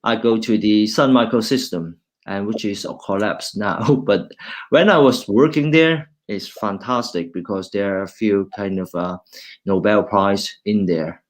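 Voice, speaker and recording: A middle-aged man from Hong Kong with a Hong Kong accent and Cantonese as his first language, an engineering lecturer giving an informal, halting talk full of restarts. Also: lowish voice. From China, raised in Hong Kong. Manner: halting, with many restarts, switching between English and Cantonese. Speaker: university lecturer, guest speaker. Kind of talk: informal talk presenting slides.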